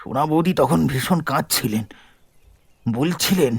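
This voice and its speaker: An actor playing a shaken, anxious Bengali man making a halting, pleading confession in an audio story. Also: on the high side, vocal fry. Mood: shaken, confessing.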